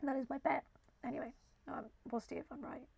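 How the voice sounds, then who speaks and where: Croaky high-voiced woman gamer. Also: steady tone, fairly quick, a little mellow, no room sound. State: slightly croaky voice. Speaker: gaming video host. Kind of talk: casual playthrough commentary mixed with reading game text aloud. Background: background music.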